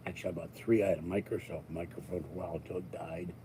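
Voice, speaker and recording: An older American man hosting his own channel, talking in a rambling, casual way with jokey asides and frequent throat-clearing. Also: sits low, fairly even intonation, very fast, warm tone, a little hiss or hum. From Pittsburgh, United States. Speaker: YouTube channel host. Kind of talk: rambling casual monologue to viewers.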